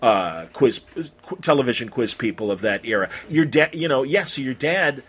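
A man's voice: mid-range voice, lively intonation, normal speed, fairly bright tone, breathy edge. A man hosting a casual interview, talking in an effusive, rambling way and stumbling over his phrases. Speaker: interview host.

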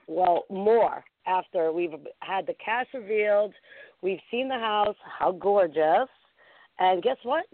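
A woman hosting a live internet talk show, with a deep, raspy voice gone hoarse, rambling excitedly and talking to her chat room. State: hoarse from a concert. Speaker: podcast host. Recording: tinny phone-line audio.

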